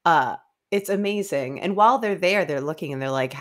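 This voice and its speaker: Low-voiced young woman chatting casually. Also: expressive, fairly quick. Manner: acts out characters' lines in mock dialogue. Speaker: co-host of a book club show. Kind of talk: casual chat recapping a story. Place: small room at home, on a video call. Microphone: desk microphone, close.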